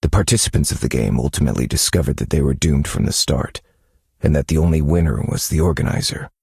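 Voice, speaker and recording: An actor playing a brooding detective who narrates a dark mystery game story in a grave, measured, dramatic manner. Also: male voice, rumbling low, gravelly, slightly rough, no echo, studio quality, heavily acted. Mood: ominous, grave.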